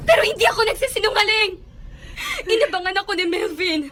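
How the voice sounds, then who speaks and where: Casting: long-suffering young Filipina servant for radio melodrama, piercingly high, earnest, faint music underneath. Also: female voice, fairly quick, fairly bright tone. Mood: tearful, protesting. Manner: tearful, pleading, polite. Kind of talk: melodramatic radio drama acting.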